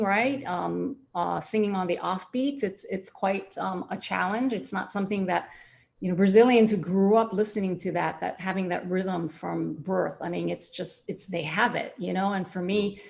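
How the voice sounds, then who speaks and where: A woman's voice: medium pitch, vocal fry. A woman jazz singer being interviewed on the radio, talking in a hesitant, thoughtful way with many fillers and restarts.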